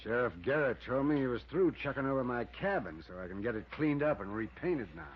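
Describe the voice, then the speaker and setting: A man's voice: medium pitch, lively intonation, brisk, close to an everyday voice. An American man playing an easygoing small-town cabin owner in a 1950s radio drama, talking in a folksy, offhand way. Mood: casual, affable. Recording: muffled old radio recording.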